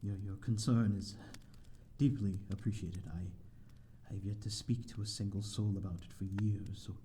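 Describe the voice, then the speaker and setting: A man's voice: on the low side, steady tone, normal speed, dark tone, slight background noise, close to an everyday voice. A man playing a lonely, courteous vampire in an audio roleplay, speaking in formal, old-fashioned, hesitant phrases to the listener. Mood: touched, subdued. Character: lonely, courteous male vampire living in an abandoned manor.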